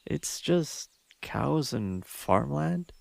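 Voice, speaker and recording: A man playing an anxious, soft-hearted young healer in an anime audio drama, with an emotional, hesitant delivery. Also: medium pitch, very animated, drawn-out words, gravelly, no echo, heavily acted. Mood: puzzled, uneasy.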